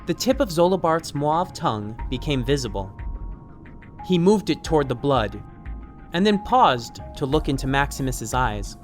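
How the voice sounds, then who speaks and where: A man's voice: fairly high pitch, fairly bright tone. A man reading scripted fiction as an audiobook narrator.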